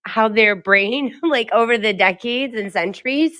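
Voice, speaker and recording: A woman podcast co-host in casual chat, loud and animated, laughing often as she tells stories. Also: on the high side, a little slow, no echo, studio quality.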